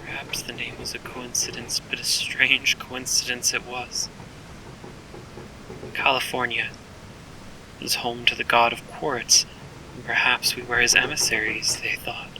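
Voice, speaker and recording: A man performs an earnest adventurer telling his own story for audio fiction. The voice is middle-pitched with a forceful, bright edge, and the delivery is measured and dramatic. A faint rumble runs underneath. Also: flat delivery, low-quality recording. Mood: solemn. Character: earnest male adventurer recounting his journey. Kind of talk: first-person narration in audio fiction.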